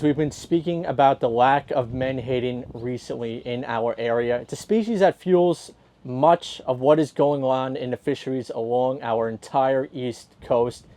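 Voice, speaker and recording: A man's voice: medium pitch, dark tone. A man presenting a scripted video report as its host.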